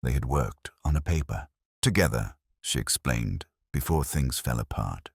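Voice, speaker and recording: Very deep, brooding ageing detective, voiced by a man. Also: lively intonation, vocal fry, breathy edge, no room sound, studio quality, full character voice. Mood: brooding, melancholy. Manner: measured, brooding, reflective. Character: ageing male detective narrator, melancholy, introspective, regretful. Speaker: first-person narrator. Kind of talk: scripted literary detective story narration.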